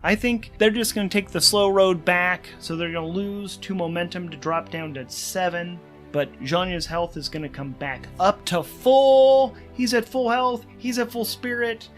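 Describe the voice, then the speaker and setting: A man's voice: high voice, moderate pace, hardly any pauses. A man working as game master and host of a solo tabletop game, thinking aloud as he narrates rules and story. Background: music.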